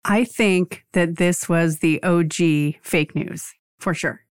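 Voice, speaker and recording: A woman's voice: fairly low pitch, slow, clear, resonant tone, no echo, studio quality. A woman podcast co-host chatting casually in a teasing, playful way. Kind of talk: casual chat.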